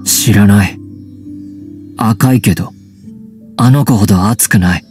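A man voicing a wary teenage boy raised by wolves in an anime game, speaking in halting, terse fragments. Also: on the low side, darkish tone, soft background music, lightly acted. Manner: halting, terse broken phrases. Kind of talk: anime video game voice acting.

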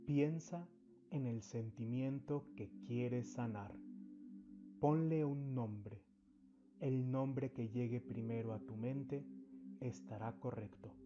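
Slow-paced man guiding a meditation over music. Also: mid-range voice, highs cut off. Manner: deliberate, instructional, with long pauses between short phrases. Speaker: spiritual therapist, meditation guide and channel host. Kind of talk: guided meditation narration. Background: background music.

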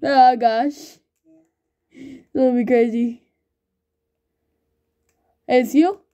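Excitable young woman gamer. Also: high voice, extremely slow. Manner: excitable, calls everyone bro, laughs a lot. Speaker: gamer recording gameplay. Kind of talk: excited gaming banter with a friend.

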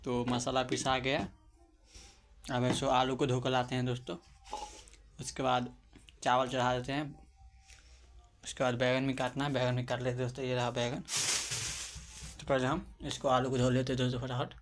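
A man's voice: mid-range voice, monotone, normal speed, pauses often. A man narrating a casual cooking vlog in a small home kitchen, chatting to his friends as he goes through each step and filming on a handheld phone. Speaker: cooking vlogger. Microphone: handheld phone camera. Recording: phone video with kitchen clatter. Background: clattering dishes and pots.

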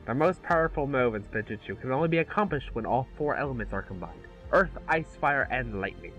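A man reading character lines in a casual fan table read, playful and quick to laugh. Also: mid-range voice, animated, fairly bright tone, muffled recording. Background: background music.

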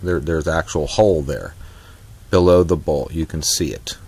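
A man's voice: extremely low pitch, normal speed, darkish tone, husky. A man casually talking through a trailer repair outdoors, folksy and rambling with lots of fillers and restarts. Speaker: DIY vlogger. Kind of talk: casual off-the-cuff how-to vlog. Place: outdoors on a driveway beside a trailer.

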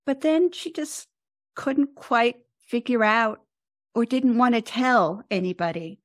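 An American woman of seventy talking reflectively as an interview guest, with thoughtful, halting phrasing. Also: fairly high pitch, dry recording, studio quality. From United States. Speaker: podcast guest, composer and author.

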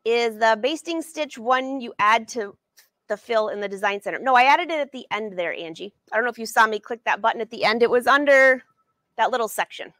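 A woman's voice: a little high, brisk, fairly bright tone, no room sound. A woman in her forties leading a live sewing lesson from her studio, chatting upbeat and answering viewers by name. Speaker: sewing educator and brand ambassador.

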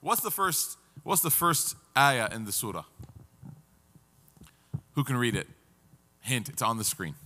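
A man's voice: medium pitch, big pitch swings, measured pace, long pauses, sharp tone. A man in his thirties teaches at a table in a big hall, his voice in a normal middle register. He keeps the class engaged, putting questions to the audience and working in their answers. A long reverb from the hall trails his words. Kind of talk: interactive Quran class.

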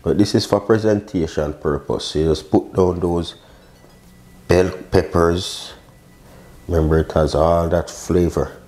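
Deep-voiced man hosting a cooking video. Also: fairly even intonation, unhurried, a little mellow. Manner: casual, chatty, addresses viewer with short exclamations. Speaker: cooking show host, chef. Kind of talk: casual step-by-step recipe demonstration. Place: indoor modern home kitchen.

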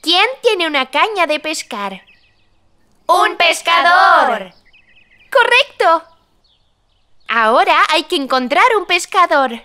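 A woman's voice: very high voice, sing-song, takes it slowly, pauses often, sharp tone, exaggerated voice. A woman voicing a cheerful, helpful little girl in a children's cartoon, talking to young viewers in a teacherly, exclamatory way. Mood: reassuring, encouraging. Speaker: main character and presenter of a children's cartoon.

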